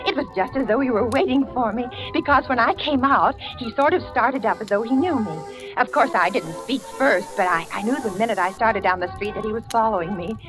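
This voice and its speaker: Actress as high-voiced, gushing middle-aged spinster, old radio. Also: female voice, brisk, close to an everyday voice, poor audio. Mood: excited, dreamy. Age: 40s. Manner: breathless, girlish, fluttery, hesitant. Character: shy, gushing, romantic spinster of forty writing her diary. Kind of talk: 1940s radio suspense acting. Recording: hissy old radio recording with music.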